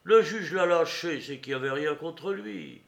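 Audiobook role: brazen streetwise fairground woman, played by a male reader, raised pitch, slangy, exclamatory and taunting. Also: normal speed, vocal fry. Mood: mocking, defiant.

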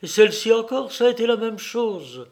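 A man's voice: extremely high pitch, big pitch swings, a little fast, voice pushed up, heavily acted. A man voicing an anguished, fearful young man who pleads with his mother in an agitated, dramatic way, as in an audiobook. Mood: frightened, agitated.

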